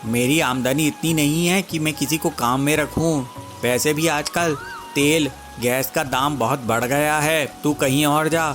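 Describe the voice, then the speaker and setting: A man's voice: on the high side, a little slow, over music, close to an everyday voice. A man voicing an unwilling male crow who sells fritters in a cartoon story, blunt and dismissive.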